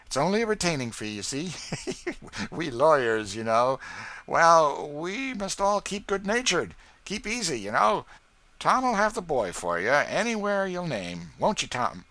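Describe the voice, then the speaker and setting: A man reading an audiobook and voicing a sly, nervous lawyer who talks in a smooth, conciliatory way. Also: fairly high pitch, sing-song, measured pace, sharp tone, voice pushed up, full character voice. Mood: wheedling. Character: sly, wheedling lawyer and slave catcher, nervous. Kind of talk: audiobook character voice.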